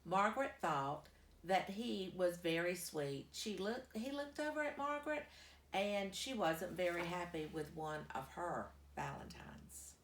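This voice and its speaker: A woman reading a children's story aloud as a storyteller, with deliberate, emphatic phrasing. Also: fairly low pitch, a little hiss or hum. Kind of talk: expressive children's storybook narration. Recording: echoey, roomy sound.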